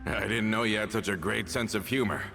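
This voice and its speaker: An amused, easygoing video game companion. His voice is lowish and gravelly, and he speaks slowly over faint music. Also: bright, forceful tone.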